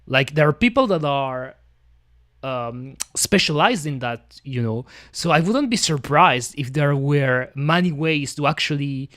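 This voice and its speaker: Lively Belgian-French-accented man chatting slowly. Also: fairly high pitch, no echo, clean studio sound. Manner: rambling, many fillers. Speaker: streamer. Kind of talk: casual chat.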